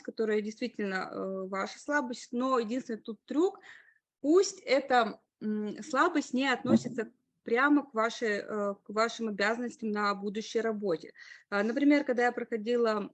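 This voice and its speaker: A woman speaker on a webinar, giving career advice in an explanatory way with frequent pauses and hesitations. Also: a little high, dry recording. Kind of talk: online webinar talk.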